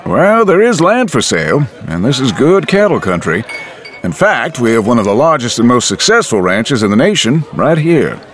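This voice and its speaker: A genial saloon keeper, as a narrator puts him on, talking with lively swings in pitch. He sounds welcoming and easy. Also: moderate pace, a little hiss or hum, close to an everyday voice. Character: friendly French-born saloon owner, genial.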